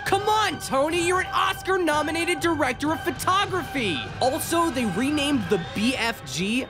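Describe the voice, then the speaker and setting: Narrator, scripted video essay, emphatic, sarcastic, animated intonation, faint music behind. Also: medium pitch.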